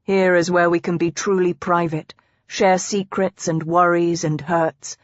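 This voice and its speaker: A woman's voice: on the low side, level tone, measured pace, no room sound, close to an everyday voice. Woman as a tender teasing husband, historical romance audiobook, formal old-fashioned phrasing, dry sound. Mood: earnest. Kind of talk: audiobook character dialogue. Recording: dry, slightly dull close recording.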